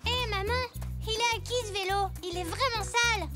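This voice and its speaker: An actor voicing an eager, polite little boy zebra in a children's cartoon, with a bright childlike delivery. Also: extremely high pitch, moderate pace, soft background music. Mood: helpful. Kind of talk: French preschool cartoon dialogue.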